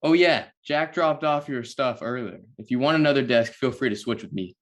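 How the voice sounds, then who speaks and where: A man's voice: mid-range voice, moderate pace, close to an everyday voice. A man playing a friendly, helpful superhero colleague in a scripted table read.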